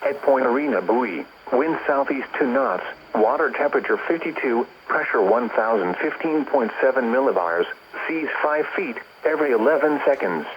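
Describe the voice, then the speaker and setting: A clearly noisy weather radio broadcast carries a synthetic male announcer with an American accent. He reads a bulletin at a medium pitch, in a formal and measured way, like an automated service. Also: lively intonation, highs cut off. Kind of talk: scripted weather bulletin reading.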